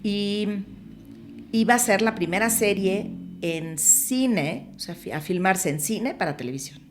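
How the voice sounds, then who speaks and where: A woman's voice: medium pitch, a little slow, over music. A middle-aged woman with a Mexican Spanish accent, a film producer chatting in an interview, telling stories with lots of restarts and fillers. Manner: animated storyteller, many restarts and fillers. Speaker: film producer and director, podcast guest. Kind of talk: casual conversational interview.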